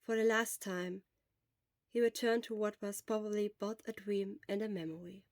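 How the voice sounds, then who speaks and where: A woman's voice: mid-range voice, fairly even intonation, normal speed, no echo. A woman reading scripted fiction aloud as the narrator. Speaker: podfic narrator.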